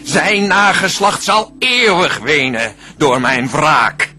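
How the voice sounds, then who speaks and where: An actor playing a boastful, vengeful demon tempter in a radio play, with drawn-out, theatrical verse delivery. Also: male voice, on the high side, sharp tone, a little hiss or hum, exaggerated voice. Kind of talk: radio drama verse acting.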